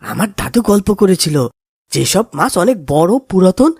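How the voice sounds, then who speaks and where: Woman as young cartoon boy, excitable, naive, husky, very animated intonation. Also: fairly low pitch, a little slow, no room sound, studio quality, heavily acted. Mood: curious, excited. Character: excitable, timid young boy, treasure-dreaming friend. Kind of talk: cartoon voice acting.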